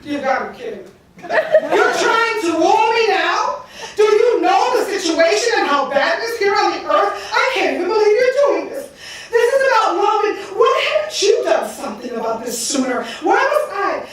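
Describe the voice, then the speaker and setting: A woman's voice: sits high, huge pitch swings, brisk, voice pushed down. A woman voicing a weary, irritable goddess of love in an oral myth, dramatic and emphatic with a lilt. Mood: irritated. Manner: dramatic, emphatic, lilting into song. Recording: distant mic in a very echoey room.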